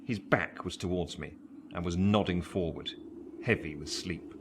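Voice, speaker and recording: Radio play narrator: low English voice, literary, measured, wryly recalling childhood; noisy track. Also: male voice. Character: grown man wryly recalling his fearful boyhood. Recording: noisy home recording.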